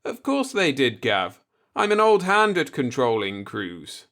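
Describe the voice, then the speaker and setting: Man playing veteran spaceship first officer, raised pitch, expansive, casual, self-assured, sci-fi audiobook. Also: very animated, a little slow, fairly bright tone.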